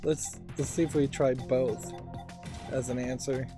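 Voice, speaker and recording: A man's voice: medium pitch, little pitch movement, a little slow, over music. A man giving let's play commentary, thinking aloud in a musing, tentative way.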